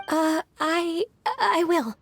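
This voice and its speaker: A woman voicing a diligent, gentle young secretary in a video game, speaking formally and politely. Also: very high-pitched, extremely slow, slight background noise, full character voice. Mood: flustered. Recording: background music under the voice.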